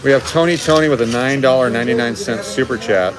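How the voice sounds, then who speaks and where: A middle-aged man hosts a casual live chat at a restaurant table. His voice sits at a medium pitch, with music clearly playing behind him as he banters into a phone. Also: fast. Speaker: live stream host. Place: restaurant dining room, indoors. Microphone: phone at arm's length.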